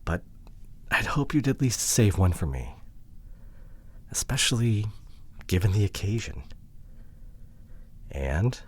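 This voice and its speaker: A man playing a hurt, introverted, awkwardly apologetic boyfriend in an ASMR roleplay audio drama, speaking haltingly and close to the microphone. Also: on the low side, big pitch swings, exaggerated voice. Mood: hurt, earnest. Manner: hesitant, halting, sighing delivery with soft drops. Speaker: voice actor. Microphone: close microphone, dry.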